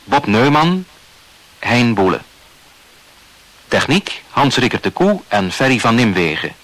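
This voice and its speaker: A man announcing the credits of a radio production in a formal, measured, scripted manner on a studio microphone. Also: mid-range voice, sing-song, fairly bright tone, no room sound, poor audio.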